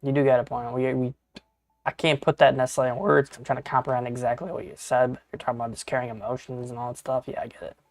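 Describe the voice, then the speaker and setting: A man's voice: medium pitch, little pitch movement, quick pace, vocal fry, no room sound. A man in his thirties chatting casually with viewers while playing a game on a live stream, rambling and swearing, often calling people bro and dude. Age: 30s.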